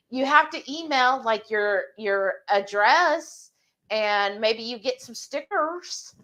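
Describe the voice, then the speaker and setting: A woman hosts a live cooking stream, reading out viewer comments and chatting back warmly. Her voice sits fairly high and swings widely in pitch, bouncing up and down as she talks. She sounds friendly and encouraging. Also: lively intonation. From Texas. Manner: chatty and encouraging, with drawn-out asides.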